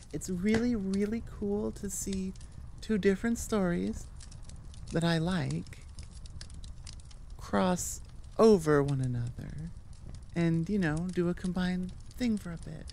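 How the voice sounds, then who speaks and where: A woman creator chatting casually to her listeners in a rambling outro, halting and thinking aloud with self-aware asides. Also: lowish voice, mellow, slight background noise. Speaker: audio roleplay creator and host.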